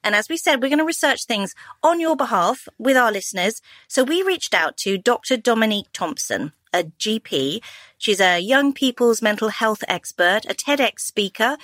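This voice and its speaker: A woman in her fifties co-hosting a relaxed podcast chat, talking freely and laughing easily. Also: a little high, moderate pace, no room sound. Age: 50s. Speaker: podcast co-host. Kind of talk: casual chat. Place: home living room.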